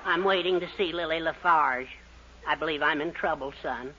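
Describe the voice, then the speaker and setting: An actor playing a worried middle-aged family man in a 1940s radio comedy, halting and confiding. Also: on the high side, very noisy, close to an everyday voice. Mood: troubled. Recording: muffled 1940s radio recording.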